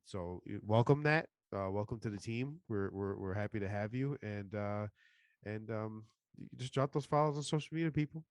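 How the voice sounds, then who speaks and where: A man co-hosting a podcast, a little hoarse from being sick, signing off with upbeat plugs. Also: lowish voice, dry recording. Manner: upbeat, hyped plugs and shout-outs. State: losing his voice after being sick. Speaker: podcast co-host. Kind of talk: casual podcast sign-off.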